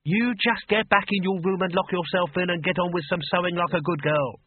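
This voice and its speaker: Narrator voices a bossy self-important mother, raised pitch, muffled lo-fi sound. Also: fairly even intonation, brisk, husky, no room sound. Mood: nagging. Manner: nagging, scolding. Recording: muffled phone-like audio, dry.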